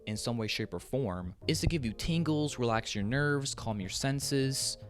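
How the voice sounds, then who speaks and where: A man delivers informal, opinionated commentary as a video host. His mid-pitched voice moves around a lot, with wry asides dropped into the scripted flow. Soft music plays behind him. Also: lively intonation, measured pace. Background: background music.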